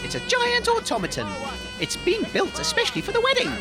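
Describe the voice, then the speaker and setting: Flustered radio host , acted by a man, comedy drama, very high and swooping, polite, music behind. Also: wildly swooping pitch, fairly quick, full character voice. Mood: excited narrating. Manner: hesitant, fussy, polite. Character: flustered, polite radio presenter giving live commentary. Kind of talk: comedy audio drama acting, live commentary.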